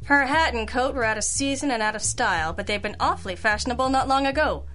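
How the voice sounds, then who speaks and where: Female actor as wisecracking lady sleuth: higher voice, dry, unimpressed, noir radio style over music. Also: fast talker, a bit bright, lightly acted. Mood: shrewd, cool. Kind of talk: old-time radio noir acting and narration.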